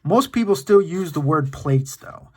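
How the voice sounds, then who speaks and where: Man, children's storytime host, very lively pitch swings, read-aloud with casual asides, encouraging, informal. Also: unhurried.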